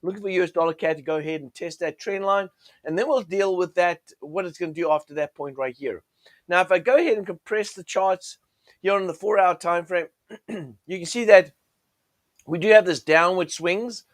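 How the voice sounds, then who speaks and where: Croaky-voiced man hosting a trading show. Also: high voice, moderate pace, dry recording. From United States. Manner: chatty, many fillers and restarts. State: croaky from a cold. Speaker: forex trading show host. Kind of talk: casual chart commentary.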